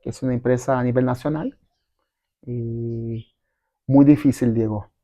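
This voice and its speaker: A man's voice: mid-range voice, steady tone, a little slow, warm tone, no echo, studio quality. A middle-aged man whose first language is not Spanish, telling his story in a casual interview, rambling with many fillers and tag questions. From abroad, not Mexico. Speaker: guest entrepreneur.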